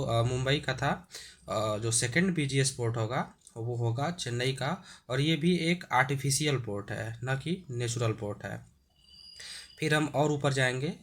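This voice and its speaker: A man with a sore throat, explaining an exam-prep lesson with many hesitations and frequent throat clearing. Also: medium pitch, a bit bright.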